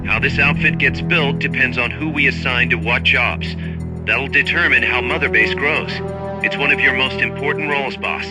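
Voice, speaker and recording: An actor playing a brisk, efficient military second-in-command in a video game, giving briefings in an explanatory, slightly wry way. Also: male voice, mid-range voice, over music, lightly acted, low-quality recording. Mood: businesslike, wry. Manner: explanatory, businesslike.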